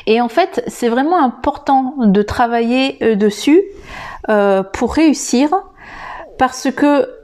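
A woman talks to her listeners in an unscripted podcast lesson. She speaks slowly, in a fairly high voice. She often stops to hesitate and restart. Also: darkish tone. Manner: hesitant, many filler sounds and restarts; addresses the listener directly and informally. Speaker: English teacher and podcast host.

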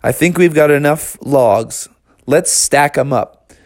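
A man's voice: a little high, takes it slowly, dry recording, pitched above the performer's own voice. A man voicing an eager, skeptical young bear son in a children's story, plain-spoken and mildly exasperated with his dad.

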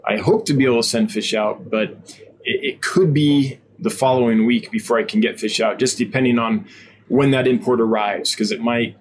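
A man hosting a weekly livestream, chatting in a relaxed, rambling way with many ums. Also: mid-range voice, moderate pace, a little hiss or hum. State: stuffy nose, sniffling. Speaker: host of a weekly fish-selling livestream. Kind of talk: casual livestream chat.

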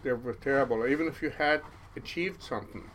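A man in his seventies with a Norwegian accent, telling stories as an interview guest in a halting way, with many restarts. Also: medium pitch, fairly bright tone, slight background noise, low-quality recording. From Norway. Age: 70s. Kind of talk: casual storytelling interview.

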